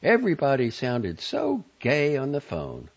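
A man's voice: medium pitch, huge pitch swings, unhurried, slightly rough, lo-fi sound, pitched above the performer's own voice, exaggerated voice. Man voicing a brash, irritable woman, emphatic and swooping. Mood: breezy, weary, bossy. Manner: sardonic, clipped and emphatic. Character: brash, irritable, bossy woman, matron of honor. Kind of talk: audiobook character dialogue.

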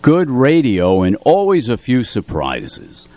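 A man's voice: mid-range voice, wildly swooping pitch, takes it slowly, a little mellow, soft background music. A man working as a radio announcer and co-host, chatting casually and effusively in a studio, often repeating himself for emphasis. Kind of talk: casual radio chat and show introduction. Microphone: studio radio microphone.

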